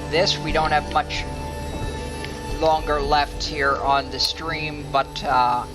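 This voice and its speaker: Halting, rambling male game streamer, higher voice. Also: little pitch movement. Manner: halting, rambling, thinking aloud. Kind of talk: casual live gameplay commentary. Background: game music and sounds.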